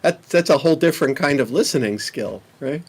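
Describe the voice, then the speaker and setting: A man's voice: fairly high pitch, lively intonation, fairly quick, breathy edge. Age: adult. Sex: man. Role: conversation-show host. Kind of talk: casual chat.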